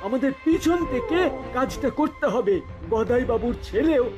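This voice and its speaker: Very squeaky greedy henchman. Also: normal speed, over music. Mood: fawning. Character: eager, greedy henchman fawning on his boss. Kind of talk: cartoon dialogue.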